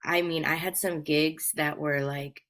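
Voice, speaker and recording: A woman's voice: sits low, fairly even intonation, measured pace, fairly bright tone. An American woman in her thirties from Hawaii, telling stories casually as an interview guest in an informal, rambling, self-effacing way. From Hawaii, United States. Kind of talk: casual conversational storytelling.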